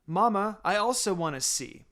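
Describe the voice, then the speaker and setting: A man's voice: high-pitched, expressive, moderate pace, fairly bright tone, pitched above the performer's own voice. A man voicing a precocious, confident four-year-old girl in a read-aloud anime fan fiction, earnest and cheeky. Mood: eager.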